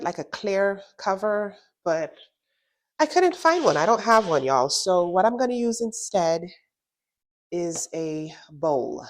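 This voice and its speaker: A woman with a stuffy nose from a cold, chatting casually through a gardening how-to vlog and saying "y'all" often. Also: lowish voice. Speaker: gardening vlogger.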